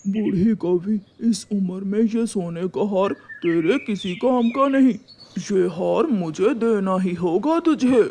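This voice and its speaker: A woman's voice: mid-range voice, slow, dark tone, a little hiss or hum, voice pushed down. A woman voicing a bullying, threatening male owl thief in a children's cartoon. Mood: menacing. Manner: commanding, threatening.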